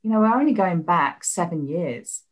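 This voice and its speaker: A woman in her fifties from England, speaking with an English accent softened by years in Australia, chatting animatedly as an interview guest. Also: on the low side, expressive, moderate pace, slightly rough, low-quality recording. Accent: English with some Australian. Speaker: guest, healing coach. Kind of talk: casual interview chat.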